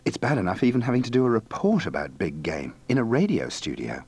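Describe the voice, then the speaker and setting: A man's voice: fairly low pitch, wildly swooping pitch, fast, a little mellow, slightly rough, no room sound. A man hosting a radio blooper compilation, delivering scripted links in a dry, wry way.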